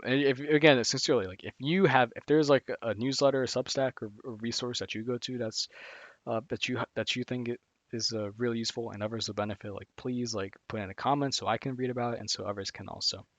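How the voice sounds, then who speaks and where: A man's voice: mid-range voice, fast, no echo, dull audio. A man streaming live, talking casually through a list of finance reading resources, rambling with lots of fillers and restarts. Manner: rambling, heavy on "like" and "again", frequent restarts.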